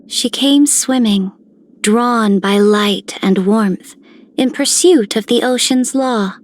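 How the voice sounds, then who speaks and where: A woman voicing a composed, lofty noblewoman in a video game, in measured, solemn, poetic phrases. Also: a little high. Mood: reflective. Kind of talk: scripted game character lines.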